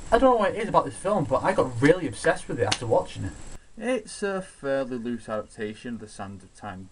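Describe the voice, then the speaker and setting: A man's voice: medium pitch, low-quality recording. A man hosting a scripted film countdown review, talking in a casual, opinionated, sweary way. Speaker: host of a video review show. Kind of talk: scripted countdown commentary on films.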